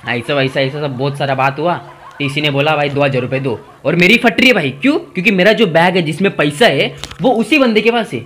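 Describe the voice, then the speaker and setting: A young man tells comic stories in stand-up style and keeps slipping into his friends' voices. His tone is very bright and a little high for a man. A faint hiss runs under the recording. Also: brisk. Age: young adult. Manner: animated, gesturing, acting out friends' lines. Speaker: stand-up comedian. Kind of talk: stand-up storytelling. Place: indoor room in front of a brick-wall backdrop.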